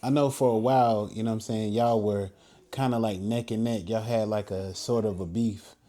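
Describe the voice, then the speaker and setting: A man's voice: fairly low pitch, steady tone, moderate pace, warm tone. A man hosting a podcast interview in a casual, easygoing way, often laughing and filling with stock phrases. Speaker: podcast host.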